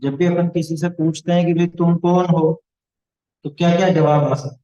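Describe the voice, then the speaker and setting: A man's voice: fairly high pitch, steady tone, normal speed, mellow, muffled recording. A man teaching a religious studies lesson to a class of children, explaining points and asking the class questions.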